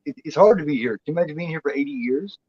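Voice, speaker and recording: A man's voice: fairly high pitch, lively intonation, racing, a little mellow, husky, highs cut off. A man in his forties chatting as co-host, blunt and profane, rambling with lots of restarts. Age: 40s. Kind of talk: casual chat.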